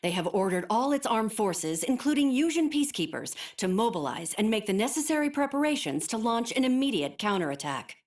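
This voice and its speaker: An actress playing a composed female news anchor delivering breaking news in a crisp, formal way. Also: a little high, sing-song, fairly quick, hardly any pauses, darkish tone. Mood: urgent.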